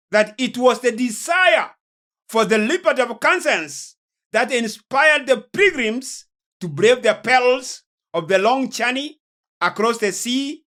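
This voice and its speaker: A man from Kenya with a Kenyan accent, preaching in a solemn, deliberate lecture style with emphatic stresses. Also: very high voice, bright, forceful tone. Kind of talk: sermon-like religious lecture.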